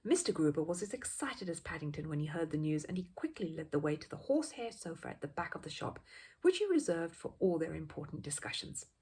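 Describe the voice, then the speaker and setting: A woman narrates a children's storybook with very lively swings in pitch. Her voice sits fairly low and has a husky edge, and her reading is expressive throughout. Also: quick pace.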